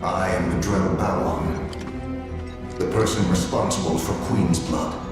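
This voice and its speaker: An actor playing a solemn, mysterious plotter in a video game, grave, formal and theatrical in delivery. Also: male voice, low voice, steady tone, takes it slowly. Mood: grave, urgent. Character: solemn, mysterious male plotter pleading for a hero's help. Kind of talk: video game cutscene acting. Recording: cavernous reverb under loud music.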